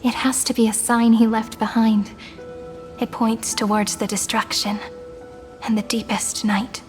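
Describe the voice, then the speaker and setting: An actress playing a gentle, wistful young woman in a game cutscene, speaking softly and earnestly. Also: a little high, little pitch movement, unhurried, soft background music, lightly acted. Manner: soft, earnest and reflective. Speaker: game character. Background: soft game score.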